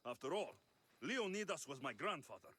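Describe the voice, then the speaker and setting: A man's voice: on the high side, sing-song, moderate pace, sharp tone, a little hiss or hum. A man voicing a bold mercenary hero in a game who acts in a stage play with rousing, theatrical lines. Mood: smug.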